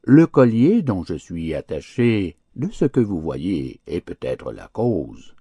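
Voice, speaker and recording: Man voicing a deep, complacent old-fable mastiff. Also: very animated, takes it slowly, mellow, slightly rough, no echo, heavily acted. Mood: evasive. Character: big well-fed mastiff, complacent, servile to masters.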